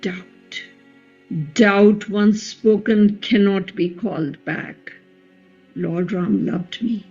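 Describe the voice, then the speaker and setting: A woman playing a devoted, wounded epic queen in a play reading, speaking in a measured, reflective and solemn way, quietly resolute. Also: medium pitch, fairly even intonation, creaky, breathy edge, dull audio, faint music behind, exaggerated voice. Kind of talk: play reading, radio drama.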